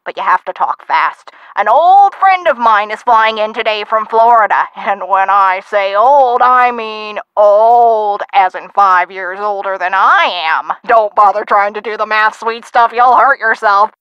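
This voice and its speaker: A woman playing a feisty, sharp-tongued elderly woman who tells theatrical stories of her youth in a video game. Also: mid-range voice, unhurried, hardly any pauses, smooth, dry recording, lo-fi sound, lightly acted. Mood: sassy. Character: feisty, sassy elderly woman, nostalgic for the twenties. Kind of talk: video game character acting.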